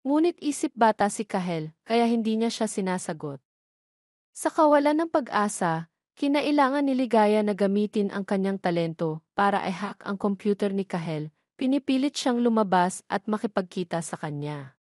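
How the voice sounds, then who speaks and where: A Filipino woman narrates a scripted story in a mid-pitched voice. She reads phrase by phrase in a measured manner. The sound is dry and close, with no room around it. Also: animated, a little mellow, dry recording.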